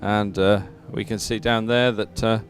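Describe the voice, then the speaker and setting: A man giving live sports commentary as an event announcer, in a measured, hesitant way with frequent pauses. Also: fairly low pitch, little pitch movement, faint music behind.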